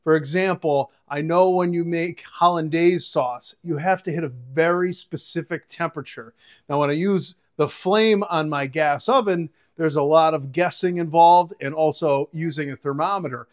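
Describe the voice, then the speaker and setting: A man's voice: on the high side, moderate pace. A man in his forties giving an enthusiastic, casual, conversational product review and demonstration to camera. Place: home kitchen, indoors. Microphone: clip-on lapel mic.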